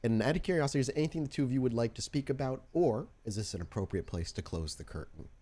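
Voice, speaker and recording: A man's voice: mid-range voice, animated, fast, mellow. A man narrating an improvised tabletop roleplaying scene as game master.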